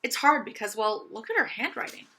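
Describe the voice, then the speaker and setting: A woman's voice: fairly high pitch, very animated, a little fast, pushed and bright. A woman voicing a young girl doll in a children's story, eager, earnest and confiding, restless for adventure. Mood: hopeful. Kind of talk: children's audiobook character voice.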